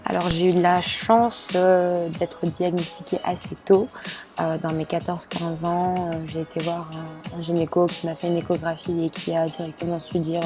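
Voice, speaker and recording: A young woman in her late teens from Belgium, telling her own story with many pauses, fillers and restarts. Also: fairly low pitch. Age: young adult. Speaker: student sharing her experience. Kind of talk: personal testimony to camera.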